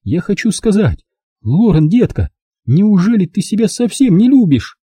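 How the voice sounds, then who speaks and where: A man's voice: sits high, sing-song, moderate pace, warm tone, slightly rough, dry recording, pitched above the performer's own voice, heavily acted. A man voicing a middle-aged mother in an audiobook, bitter, pleading and insistent, pressing her points with emphatic reproach. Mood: exasperated, angry. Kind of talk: audiobook character dialogue.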